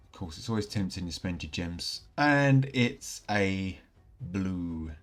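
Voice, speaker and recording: A chatty man talks through opening game packs at his desk, his voice a bit croaky from an oncoming cold. He rambles along with plenty of fillers, and soft music plays behind him. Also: low-pitched, expressive, slow pace. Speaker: gaming channel host. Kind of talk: casual commentary while opening game packs.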